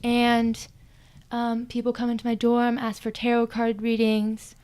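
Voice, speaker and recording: A woman's voice: a little high, completely flat delivery, measured pace, a little mellow, clear, resonant tone, lightly acted. A woman playing a student psychic, polite, matter-of-fact and a little defensive, in an improvised comedy interview.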